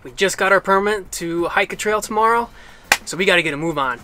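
A man's voice: high voice, brisk, loud music behind the voice. A young man chatting easily as a travel vlogger, filming himself with a handheld camera on a mountain trail and in a camper van. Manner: easygoing, chatty, self-mocking. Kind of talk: casual travel vlog narration. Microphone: handheld camera at arm's length.

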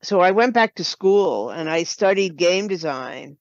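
A woman's voice: lowish voice, expressive, measured pace, dry recording. An older woman talking as an interview guest, telling stories conversationally over a dull lo-fi remote connection. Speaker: podcast guest, writer and producer. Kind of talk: conversational storytelling.

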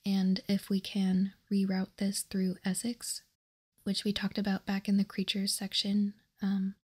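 A woman whispering in a breathy voice as she slowly and deliberately presents trading cards, ASMR style. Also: mid-range voice, monotone. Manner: slow, deliberate, reading card text piece by piece. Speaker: host of a trading card ASMR channel. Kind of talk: ASMR whispered card showcase.

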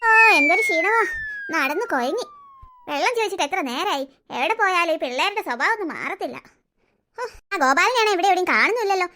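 A woman's voice: very high-pitched, lively intonation, fast talker, bright, forceful tone, exaggerated voice. A woman doing a scheming, grumbling old grandmother. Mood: complaining. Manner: sighing, complaining, muttering to herself. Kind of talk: one-person family skit.

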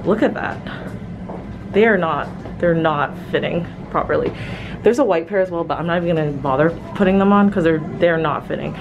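A woman's voice: low-pitched, fairly quick, vocal fry, muffled recording, music in the background. A young woman in her twenties chatting casually through a clothing try-on vlog, rambling with fillers as she films on a handheld camera in a shop. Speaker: fashion vlogger. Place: clothing store, indoors.

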